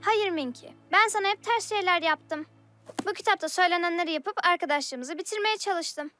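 Very high-pitched excitable cartoon girl. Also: moderate pace. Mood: troubled, confessing. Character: excitable, argumentative young female cartoon character. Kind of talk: animated children's cartoon dialogue. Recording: music behind the voice.

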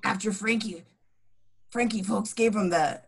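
A woman reads a knowing, gossipy informant in a comedy script. The voice is husky and mid-pitched, in a home table read over video call. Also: unhurried.

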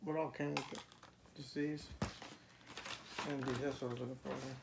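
A man's voice: medium pitch, little pitch movement, moderate pace, vocal fry. A man helping a child unbox and build a toy at home, talking in an easygoing way and asking questions as he puts pieces together. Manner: easygoing, asks questions while assembling. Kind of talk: casual home video chat. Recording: noisy home video.

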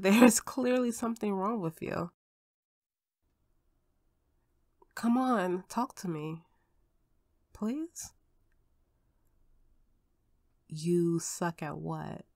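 Actress as tender, reassuring wife and step-parent, ASMR roleplay. Slow, gentle delivery, mid pitch, dry recording. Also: female voice, no room sound. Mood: concerned, coaxing. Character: affectionate, reassuring spouse and step-parent comforting partner.

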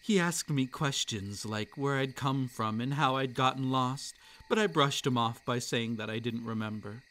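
A man narrating a scripted roleplay ASMR story in a soft, unhurried storytelling voice. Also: mid-range voice.

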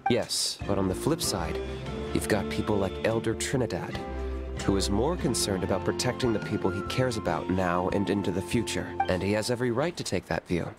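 A man's voice: lowish voice, a little fast, natural voice. A man voicing a cool, pragmatic young monster hunter in an anime game, reserved and matter-of-fact. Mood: explaining, serious. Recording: game music behind the voice.

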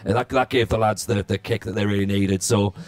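A man's voice: fairly low pitch, steady tone, a little fast, a little mellow. A man in his thirties, a comedian on a stage panel, telling a long comic story into a handheld mic with frequent restarts and fillers. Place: stage before a live audience. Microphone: handheld microphone.

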